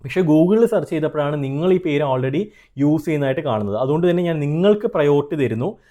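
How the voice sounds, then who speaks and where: Male Malayali consultant-host, slightly high but soft-edged mellow voice, earnest explanatory delivery with English terms mixed in. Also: lively intonation, fast talker. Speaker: trademark consultant and video host. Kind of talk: explanatory advice straight to viewers.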